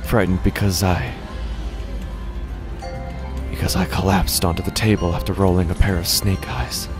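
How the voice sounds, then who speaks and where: A man playing a desperate, unraveling gambler, narrating a horror audio drama in the first person with halting, haunted, dramatic delivery. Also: low-pitched, fairly even intonation, music in the background, close to an everyday voice. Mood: shaken, frightened.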